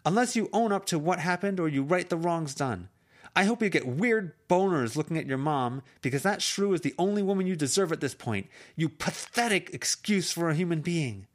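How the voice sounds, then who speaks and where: A man's voice: fairly high pitch, expressive, moderate pace, voice pushed up, natural voice. A man reading an amateur audiobook, voicing a furious teenage girl who hurls bitter, cutting insults. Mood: screaming rage.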